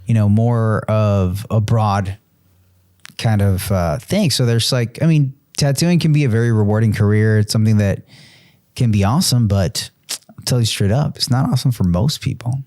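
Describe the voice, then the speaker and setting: A man in his early forties talks in a loose, rambling way, circling back with restarts and fillers. His voice sits low. The sound is dry and close, with no room around it. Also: moderate pace, darkish tone, no echo. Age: 40s. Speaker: podcast co-host. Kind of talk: casual chat.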